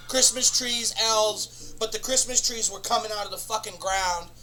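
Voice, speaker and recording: A man hosting a comedy show, rambling through an absurd, profane monologue in a deadpan way. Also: sits high, a little slow, poor audio. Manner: rambling, profane, deadpan nonsense with sudden bursts of laughter.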